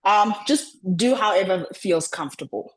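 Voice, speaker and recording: A woman coaching public speaking over a video call, talking in a deliberately lowered register and often repeating words as she restarts. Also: expressive, poor audio. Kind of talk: online coaching session.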